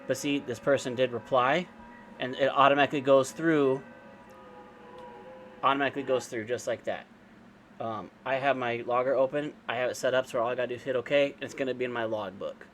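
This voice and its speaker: Regular guy doing a casual step by step screen tutorial, medium pitch, some background noise on the recording. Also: steady tone, brisk, fairly bright tone. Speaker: amateur radio operator giving a software tutorial. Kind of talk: informal screen-share tutorial, unscripted walkthrough.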